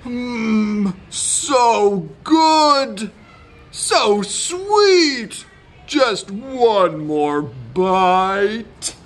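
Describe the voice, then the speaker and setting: Woman voicing a slow, impatient storybook donkey. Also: medium pitch, animated, voice pushed up. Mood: greedy, savoring. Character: impatient, greedy, whiny male donkey. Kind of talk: children's storybook character voice.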